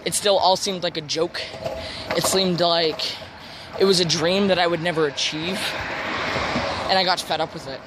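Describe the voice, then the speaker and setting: Loud traffic and vehicle noise surrounds a young man with a high-pitched voice for a male. He speaks in an earnest, confessional vlog style, with deliberate phrasing and repeated words for emphasis. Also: steady tone. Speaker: vlogger.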